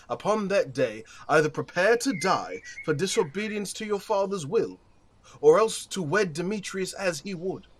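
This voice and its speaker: An actor playing a stately duke passing judgment, speaking Shakespearean verse in a formal, measured, authoritative manner. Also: male voice, on the high side. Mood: solemn. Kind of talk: Shakespearean verse audio drama acting.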